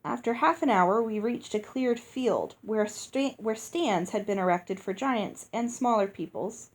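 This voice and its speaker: A woman reading a storybook aloud as narrator, in an expressive scripted style. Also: medium pitch, unhurried. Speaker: audiobook reader.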